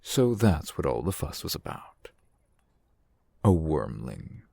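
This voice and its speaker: A man playing a suave, shrewd fantasy shopkeeper in an audio roleplay, speaking in measured, formal, wry phrases with a gravelly, husky voice, close to the mic. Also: a bass voice, sing-song, dark tone, no room sound, heavily acted. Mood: wry, unhurried. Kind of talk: fantasy ASMR roleplay acting. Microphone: close microphone, dry sound.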